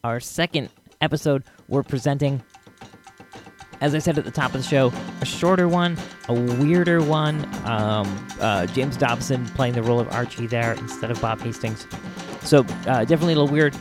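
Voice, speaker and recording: A man's voice: medium pitch, normal speed. Male podcast host talking over music. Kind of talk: casual podcast talk to listeners.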